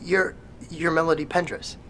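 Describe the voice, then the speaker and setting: An actor playing a guarded, suspicious resident of an eerie building, with halting, repetitive speech in an audio drama. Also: male voice, a little high, little pitch movement, a bit bright, close to an everyday voice. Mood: guarded, uneasy. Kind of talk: tense audio drama dialogue. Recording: faintly noisy field tape.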